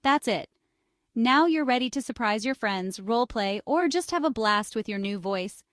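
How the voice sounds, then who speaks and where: A woman's voice: on the high side, lively intonation, moderate pace, fairly bright tone, no room sound. A woman reading an upbeat, scripted how-to narration as a tutorial narrator.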